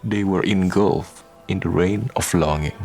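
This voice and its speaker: A man narrating a radio drama from a script in a measured storytelling manner. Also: low-pitched, a little mellow. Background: faint music.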